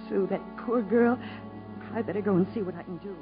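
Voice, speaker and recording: An actress playing a devoted, worried young country wife, earnest and emotional, in a 1950s radio drama. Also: female voice, medium pitch, brisk, over loud music, lightly acted. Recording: muffled 1950s radio broadcast recording.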